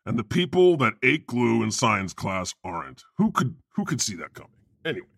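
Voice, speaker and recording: A man's voice: mid-range voice, big pitch swings, normal speed, gravelly, fairly bright tone, no room sound, studio quality. A man co-hosting a casual comedy podcast, talking in a sarcastic, profane, opinionated way. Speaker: podcast co-host. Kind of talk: casual comedic panel talk.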